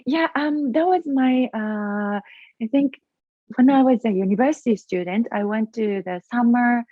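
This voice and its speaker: A woman with a Japanese accent, a guest in a casual interview, speaking haltingly and searching for her English words. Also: a little high, no echo. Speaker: guest, acro yogi. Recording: tinny phone-like line.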